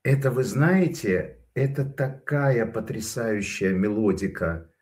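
A man's voice: fairly low pitch, animated, slow pace, darkish tone. A man giving casual spoken commentary, telling stories in a digressive way with frequent hesitations.

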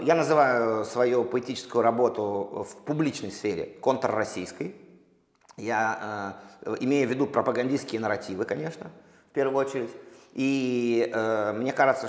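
A man from Russia, a poet, answering interview questions slowly and thoughtfully, with many pauses and restarts. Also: on the low side. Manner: halting, many fillers and restarts. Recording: echoey room with long reverb.

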